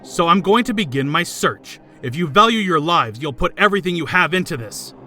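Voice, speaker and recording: A man's voice: a little high, expressive, a little fast, pushed and bright, a little hiss or hum. A man voicing an arrogant, coldly logical elite student with haughty, clipped delivery in an anime fandub. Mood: haughty, impatient.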